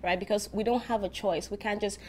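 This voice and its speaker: A woman's voice: mid-range voice, fairly even intonation, fairly quick. Emphatic young woman. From Nigeria. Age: 20s. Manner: emphatic, repeats phrases for effect. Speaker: university student interviewee. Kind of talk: earnest documentary interview. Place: indoors by a large window.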